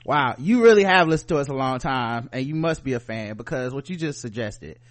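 An American man hosting a podcast, chatting casually and comedically with animated, profane riffing. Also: medium pitch, fairly quick, dry recording. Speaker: podcast host.

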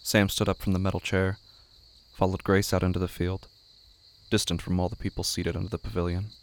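A man with a gravelly, creaky voice narrates a scripted horror story in a hushed, deliberate way. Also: low-pitched, level tone, a little hiss or hum. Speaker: narrator of a horror audio drama.

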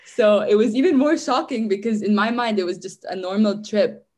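A young woman from Syria talks as a guest in a relaxed interview, in a middle-pitched voice. Her talk runs on in long strings, packed with "like" and frequent false starts. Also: normal speed. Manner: chatty, restarts often, says "like" a lot. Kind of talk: casual interview.

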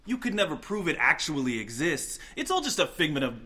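A young male university student in a radio play. His voice sits a little high, and he is bluntly scornful, dismissing ideas with mockery. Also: huge pitch swings, brisk, bright, forceful tone, full character voice. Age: young adult. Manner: blunt, mocking. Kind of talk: radio drama acting.

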